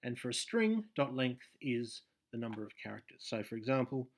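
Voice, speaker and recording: This is a man hosting a game development tutorial at his desk, speaking at an ordinary mid pitch. He keeps stopping to restart sentences, fills the gaps with ums and lets his lines trail off quietly. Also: big pitch swings, moderate pace. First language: English. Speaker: game dev tutorial host. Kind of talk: screen-share coding tutorial.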